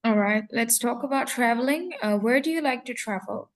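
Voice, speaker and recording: A woman acting as examiner, asking short, clear questions in a mock speaking test. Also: on the high side, moderate pace. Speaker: English coach and examiner.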